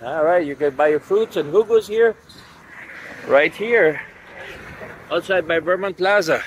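A man's voice: sits high, a little slow, low-quality recording. A middle-aged man doing casual walk-around vlog narration, addressing viewers directly as he points out what is around him. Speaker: travel vlogger. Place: outdoors on city streets and parking lots. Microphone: phone or camera at arm's length. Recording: outdoor street and vehicle noise.

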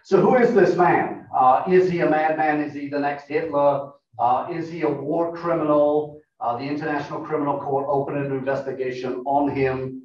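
A man with a funny non-American accent giving a measured lecture to a club meeting, pausing often with fillers. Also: fairly high pitch, fairly even intonation, a little mellow. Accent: non-American English. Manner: measured, explanatory, with frequent uh fillers. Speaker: guest speaker, professor. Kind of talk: lecture talk with slides. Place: meeting room. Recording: echoey room, distant, dull lo-fi.

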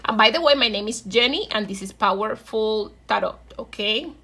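High, bright Venezuelan woman reading tarot. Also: moderate pace, husky. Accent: Venezuelan Spanish. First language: Spanish. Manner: encouraging, affectionate address, repeats phrases. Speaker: tarot reader. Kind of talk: casual tarot reading to viewers.

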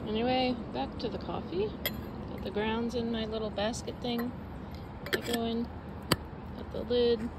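A woman's voice: fairly high pitch, steady tone, a little slow, pauses often, very noisy. A young woman casually vlogging at a forest campsite, thinking aloud with lots of fillers as she films on a phone. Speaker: car-living vlogger. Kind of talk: casual vlog chat while camping. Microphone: handheld phone camera at arm's length.

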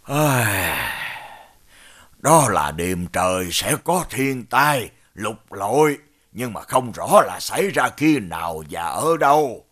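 Animated folk tale: omen-reading elder, unhurried, roughened voice, pondering and learned. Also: male voice, lowish voice, exaggerated voice. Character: calm, learned village elder who interprets omens. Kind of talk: animated fairy tale voice acting.

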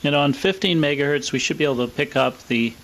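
A man's voice: a little high, quick pace, a bit bright. A man narrating a demonstration in a matter-of-fact way. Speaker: presenter and radio hobbyist. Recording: hissy home recording.

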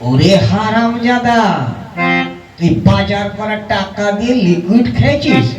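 Male actor as bossy comic puppet husband, high voice, scolding and wheedling, dull roomy sound. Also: unhurried. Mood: angry scolding. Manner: exclaiming, cursing, wheedling. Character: loud, bossy, amorous village husband puppet, quick to scold. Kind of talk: comic puppet-show acting. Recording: echoey, distant, dull, loud music behind.

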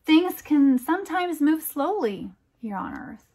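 A middle-aged American woman reading a picture book aloud to young children, with emphatic, deliberate phrasing and chatty asides. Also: sits high, big pitch swings, darkish tone. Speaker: children's storytime reader, teacher.

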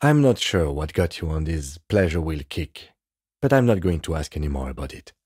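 An actor playing a composed, dry police detective in a video game, speaking in a measured, restrained, matter-of-fact way with a soft husky edge. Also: male voice, extremely low pitch, sing-song, dry recording, heavily acted. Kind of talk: video game dialogue acting.

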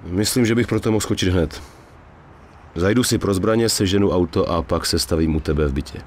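Man as a deep-voiced, laconic mobster. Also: darkish tone, a little hiss or hum, lightly acted. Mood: cool. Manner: terse, matter-of-fact. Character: cool, laconic male mobster in a suit. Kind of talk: Czech video game dubbing.

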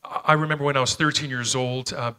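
A middle-aged preacher with a Canadian accent, raised speaking Slovenian at home, shares personal stories from a church stage. His voice is bright and forward, picked up by a headset mic. He talks earnestly and often stops to find his words. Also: medium pitch, steady tone, fast talker, clear, resonant tone. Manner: earnest, personal storytelling with frequent hesitations. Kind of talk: sermon. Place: church stage, medium-sized hall.

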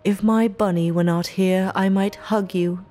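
A woman's voice: medium pitch, fairly even intonation, a little slow, warm tone, soft background music, close to an everyday voice. A woman playing a playfully menacing, eccentric mob underling in an audio roleplay, speaking coyly in theatrical, old-fashioned phrases. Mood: coy, teasing. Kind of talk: ASMR audio roleplay acting.

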